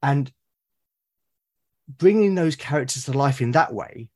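A man from Britain, a podcast guest, talking eagerly about his characters, with lots of restarts and a slightly husky voice. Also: mid-range voice, lively intonation, measured pace, darkish tone. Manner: enthusiastic, rambling with many restarts. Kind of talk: casual podcast conversation.

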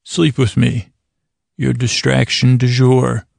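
Very creaky, rambling American man. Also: medium pitch, level tone, darkish tone, no echo, clean studio sound. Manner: meandering, halting, trails off mid-thought. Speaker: podcast host. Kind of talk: rambling comedic bedtime monologue.

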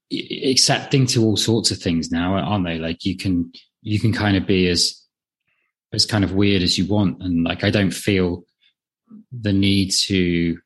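Low-voiced man in his late thirties, hesitant. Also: a bit bright, breathy edge. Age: late 30s. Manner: hesitant, self-deprecating, trailing off. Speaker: podcast co-host. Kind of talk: casual chat.

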